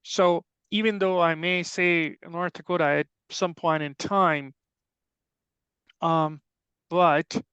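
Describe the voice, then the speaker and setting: A man's voice: on the high side, steady tone, slow, fairly bright tone, clear, resonant tone, dry recording, muffled recording. A middle-aged man with a Pakistani accent, giving an informal technical presentation in an explanatory, conversational way. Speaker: extension soil health specialist, presenter. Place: online webinar call.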